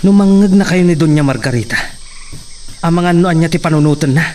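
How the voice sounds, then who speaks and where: Casting: male actor as bickering hired man, lightly high voice, a little far from the mic with faint noise. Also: fairly quick, warm tone, lightly acted. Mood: grumbling, worried. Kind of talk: Ilocano radio-style audio drama acting.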